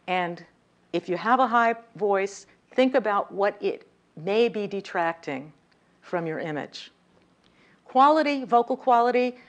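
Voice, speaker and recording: A woman with a slightly high voice giving a lively lecture on communication, often turning to the audience with questions. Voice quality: voice a little high, by her own account. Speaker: communication workshop speaker. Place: lecture room.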